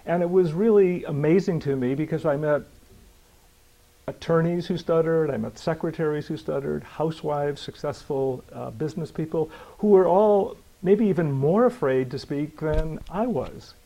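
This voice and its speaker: An older man telling his own story in a measured, reflective way, with fillers and a slight stammer in places. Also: a little high, vocal fry, warm tone, rough recording. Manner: measured, thoughtful, with pauses and fillers.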